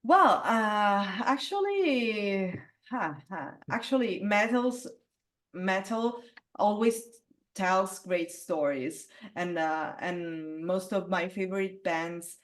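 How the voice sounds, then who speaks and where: Woman with a low alto voice. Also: expressive, takes it slowly. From Italy. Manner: hesitant, many fillers and restarts. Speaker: metal singer, interview guest. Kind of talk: casual interview conversation.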